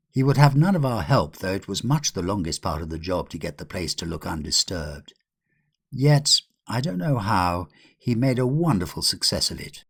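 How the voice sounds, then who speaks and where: Older man, English accent, deep voice, creaky gravel, audiobook narration, expressive literary storytelling. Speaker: audiobook reader.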